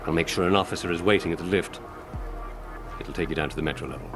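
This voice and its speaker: Man acting a very deep-voiced, guarded police lieutenant. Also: over music, lightly acted. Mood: resigned. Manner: halting, guarded, earnest. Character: guilt-ridden, protective male police lieutenant, defensive under questioning. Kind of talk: video game dialogue acting.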